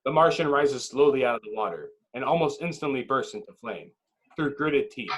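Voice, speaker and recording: A man's voice: mid-range voice, lively intonation, normal speed, slightly rough, rough recording. A man reading stage directions as narrator at a table read, in dramatic, clipped phrases.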